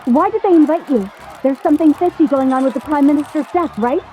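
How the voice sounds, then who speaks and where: An actress playing a pushy reporter who shouts questions in a press scrum in a game. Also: female voice, sits high, quick pace, slight background noise, close to an everyday voice, poor audio.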